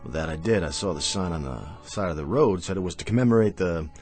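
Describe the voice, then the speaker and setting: An actor playing a laconic, sly traveling stranger in an Old West radio drama, speaking wryly with an American accent. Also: male voice, low-pitched, lively intonation, quick pace, darkish tone, faint music behind. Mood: mild, wry.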